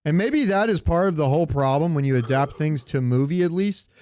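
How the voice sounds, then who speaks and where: A man with a fairly high, clean voice recorded close and dry. He co-hosts a casual podcast, talking in a loose, rambling, conversational way with the odd swear word. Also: lively intonation, fairly quick, darkish tone, smooth, dry recording. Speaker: podcast co-host. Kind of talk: casual chat about comics and movies.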